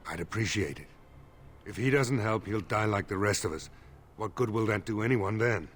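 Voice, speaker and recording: An actor playing a worried, blunt village militia leader in a fantasy video game, serious and exasperated. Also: male voice, fairly low pitch, animated, normal speed, fairly bright tone. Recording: faint background ambience.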